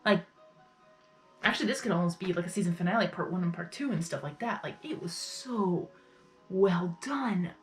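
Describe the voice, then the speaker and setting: A woman's voice: fairly low pitch, animated, moderate pace, faint music behind. Effusive, rambling woman reacting casually. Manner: effusive, rambling, repeats words and phrases. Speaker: reaction video host. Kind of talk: casual live reaction commentary.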